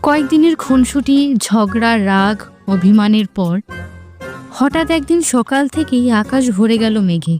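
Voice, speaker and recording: A woman's voice: on the high side, expressive, measured pace, a little mellow. A woman narrator reading a scripted romantic story. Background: music.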